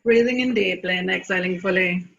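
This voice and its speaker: A woman from South Africa leading a guided meditation, speaking in deliberate phrases with long pauses. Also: on the low side, vocal fry, lo-fi sound.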